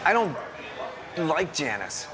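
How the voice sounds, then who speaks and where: A man co-hosts a laid-back interview in a slightly high voice. He jumps in eagerly and speaks informally, often stopping and restarting. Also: sing-song, slow. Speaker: co-host. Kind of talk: casual interview hosting.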